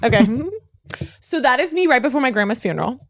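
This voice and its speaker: Young woman, casual podcast chat, rambling, deadpan humor, dry studio sound. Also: sits high, fast talker, no echo. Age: young adult. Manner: rambling, filler-heavy, deadpan jokes. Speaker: podcast guest.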